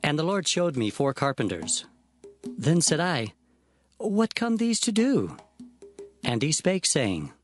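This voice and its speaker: An earnest prophet telling of visions and divine warnings. The voice sits fairly high and swings widely in fervent declamation, with soft music behind it. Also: male voice, very animated, slow pace, pauses often. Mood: wondering. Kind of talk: dramatized scripture narration.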